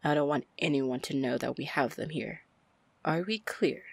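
A woman playing a confident, commanding, flirty female pirate captain in an ASMR fantasy roleplay, breathy and deliberate. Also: no room sound, exaggerated voice. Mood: quietly commanding. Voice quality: breathy, low, husky. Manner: slow, deliberate, smooth-talking. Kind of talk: ASMR roleplay acting, fantasy audio drama.

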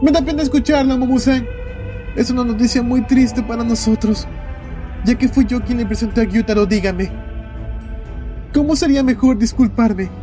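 Casting: male actor as playful, unctuous anime demon, high male pitch, Latin American Spanish, syrupy courtesy. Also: moderate pace, pauses often, mellow, faint music behind. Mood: mock sorrow. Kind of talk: anime fan dub acting.

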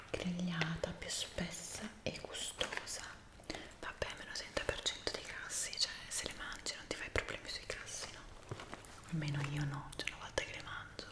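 Young woman doing asmr, real slow breathy whisper, soft and caring, close to the mic. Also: lowish voice, reverberant. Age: 20s. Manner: soft, soothing, unhurried. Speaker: ASMR creator.

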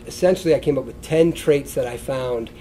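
A man's voice: medium pitch, fairly quick, mellow, slight background noise. A middle-aged American man with a congested, sniffly voice gives an informal wellness lecture in a loose, conversational way. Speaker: chiropractor giving a wellness talk. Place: indoor stage beside a wooden lectern, seated.

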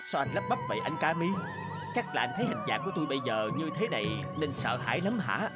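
A man voicing the male characters in a dubbed cartoon folk tale, with animated, emotional delivery. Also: fairly high pitch, fast. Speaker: voice actor doing all male parts. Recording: music bed under voice.

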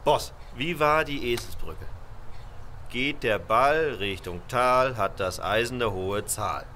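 An actor in a radio play playing a cheeky, nagging golf coach with teasing, slangy talk. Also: male voice, medium pitch, expressive, slow, pushed and bright. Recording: faint background noise.

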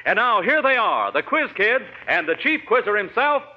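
A man's voice: high-pitched, big pitch swings, moderate pace, heavy background noise. An American man announcing in the style of 1940s radio. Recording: dull 1940s radio recording.

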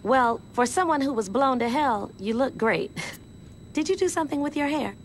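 A woman voicing a sassy, house-proud, no-nonsense gangster's girlfriend in a video game, sharp-tongued and wry. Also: high-pitched, animated, normal speed, slight background noise. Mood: surprised, teasing. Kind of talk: video game cutscene acting.

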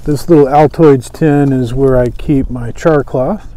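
A man in his thirties giving a casual, unhurried, folksy show-and-tell as an instructor. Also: mid-range voice, steady tone, mellow, rough recording. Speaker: bushcraft instructor and presenter. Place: outdoors at a table on a lawn by woods.

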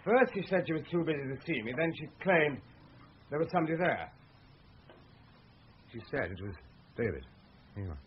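A man's voice: on the high side, animated, brisk, pauses often, natural voice. An actor playing an obsessive, earnest male researcher in a radio drama. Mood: excited, unsettled. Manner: earnest, insistent. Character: obsessive, insistent male researcher and writer, Walter. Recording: dull lo-fi old radio recording, faint noise.

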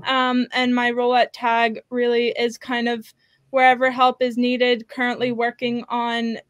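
A woman's voice: on the high side, monotone, measured pace, clean tone, no room sound. A young woman from Switzerland, a native Swiss German speaker, introducing herself as a panelist on a video call. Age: young adult. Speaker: student panelist. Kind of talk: self-introduction on a live panel. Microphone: computer video call.

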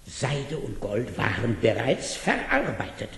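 An actor playing an obsequious, effusive royal minister in a fairy-tale audio drama. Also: male voice, mid-range voice, wildly swooping pitch, slow pace, vocal fry, fairly bright tone, full character voice. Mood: effusive, servile. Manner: effusive, deferential. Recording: roomy old cassette, faint noise.

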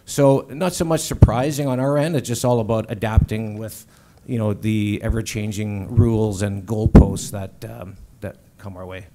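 A man's voice: lowish voice, steady tone, normal speed, noisy background. A man speaking as a company executive on a conference panel, hesitant with many restarts. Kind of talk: panel discussion. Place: conference stage.

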